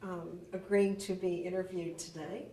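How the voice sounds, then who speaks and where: A woman's voice: lowish voice, flat delivery, moderate pace, mellow, distant mic. A woman conducting an oral history interview, asking polite, formal questions. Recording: echoey room, off-mic.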